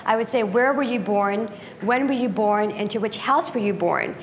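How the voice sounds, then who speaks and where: A woman's voice: medium pitch, moderate pace, slight background noise. A middle-aged woman giving a lecture with slides in a conference room, talking conversationally with frequent ums and restarts. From United States. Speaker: guest speaker. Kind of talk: lecture presentation. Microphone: lectern mic, later handheld.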